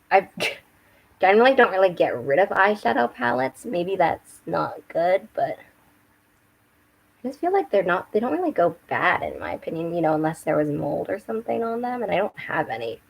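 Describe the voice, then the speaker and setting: A young woman with a slightly hoarse voice, chatting casually and rambling a little on a home live stream while doing her makeup. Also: on the low side, very animated, normal speed, low-quality recording. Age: young adult. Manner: rambling, chatty, with restarts and self-corrections. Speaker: book and makeup channel host. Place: home room with bookshelves. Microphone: webcam.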